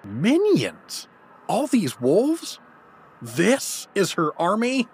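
A man's voice: sits high, huge pitch swings, very slow, slight background noise, heavily acted. A man playing a hapless, nervous, wry everyman hero in a comedic fantasy audio drama, with an incredulous conversational manner. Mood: bewildered.

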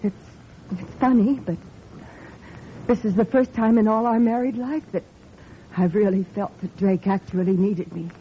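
An actress playing a devoted, anxious wife in an old-time radio drama, hesitant and earnest. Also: female voice, mid-range voice, normal speed, long pauses, lightly acted. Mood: anxious, brave. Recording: muffled, hissy vintage radio recording.

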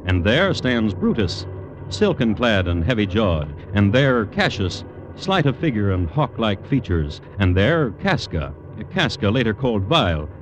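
A man narrates in old radio style with a low, varied voice. His delivery is dry and measured, over a hissy vintage recording. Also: very animated. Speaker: host and narrator. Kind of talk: 1950s radio scripted narration.

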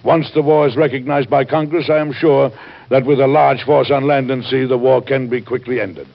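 An earnest, gravelly statesman. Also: mid-range voice, steady tone, normal speed. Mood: firm, confident. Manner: earnest, courteous, formal. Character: overworked, kindly, earnest male American president. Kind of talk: old-time radio drama acting. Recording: dull, noisy old radio recording.

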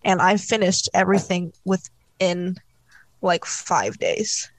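A woman's voice: on the low side, slow, dry recording. A woman from South Korea chatting casually and telling personal stories. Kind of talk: casual chat.

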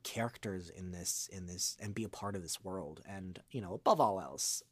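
A man in his twenties chatting reflectively as an interview guest, earnest and halting as he gathers his thoughts. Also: sits low, husky, no echo. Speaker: voice actor, podcast guest. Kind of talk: casual reflective interview chat.

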